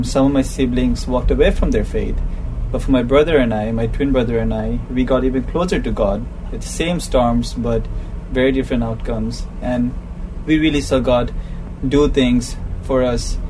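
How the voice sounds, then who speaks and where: Earnest man from Bangladesh, noisy location. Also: medium pitch. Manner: earnest, thoughtful, restarts phrases. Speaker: interview guest, ship's purser. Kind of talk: personal testimony in conversation. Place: aboard a docked ship. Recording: clear background noise on location.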